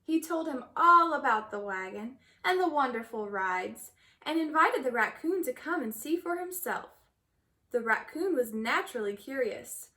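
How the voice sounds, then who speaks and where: A woman reading a children's story aloud in an expressive storytelling manner. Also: high voice. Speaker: storybook reader.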